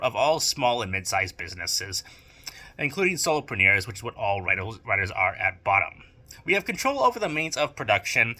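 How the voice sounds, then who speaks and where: A man delivering a scripted, opinionated video essay with emphatic, deliberate phrasing and rhetorical turns. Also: medium pitch, bright, forceful tone. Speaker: video essayist and amateur audio drama producer. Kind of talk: scripted monologue to camera or mic.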